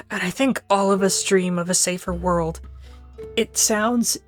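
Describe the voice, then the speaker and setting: A woman's voice: mid-range voice, measured pace, faint music behind, lightly acted. A woman playing a reserved, weary leader of adventurers in a fantasy audio drama. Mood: somber, doubtful.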